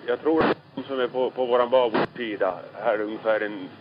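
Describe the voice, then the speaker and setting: A man's voice: medium pitch, fairly even intonation, a little hiss or hum. A man working as a ship's radio operator, making calls on a lo-fi marine radio recording. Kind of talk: maritime radio traffic.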